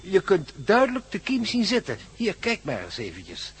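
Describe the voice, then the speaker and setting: A man's voice: sits high, huge pitch swings, moderate pace, a bit bright, full character voice. A male actor playing an enthusiastic scientist in a radio play, explaining things thoughtfully and with some hesitation. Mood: absorbed, explaining. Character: absorbed, enthusiastic male scientist examining mysterious seeds. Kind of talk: radio drama acting.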